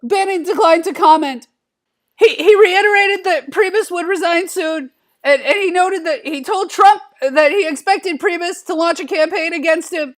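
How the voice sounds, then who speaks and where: A man's voice: very high-pitched, normal speed. A man voicing a nervous, timid adolescent cartoon boy, stuttering through narration in a comedic dramatic reading. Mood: hesitant. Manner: anxious stuttering, repeated word starts.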